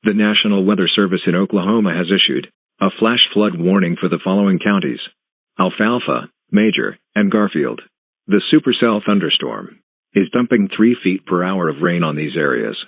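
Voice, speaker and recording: A man reading scripted emergency weather warnings as an announcer, in a formal, measured, deliberate way. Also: low voice, animated, no room sound. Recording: narrow, phone-like band.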